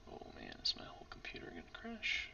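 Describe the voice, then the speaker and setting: A man who is losing his voice from illness, giving casual let's-play commentary in a hoarse, mumbling, often half-whispered way. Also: quick pace, pushed and bright, distant mic, highs cut off. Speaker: streamer playing a game.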